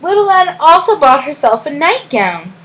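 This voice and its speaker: A woman reading a storybook aloud as a teacher to young children, in a deliberate sing-song way, pausing to ask questions and give praise. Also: sits high, very animated, fairly bright tone, poor audio.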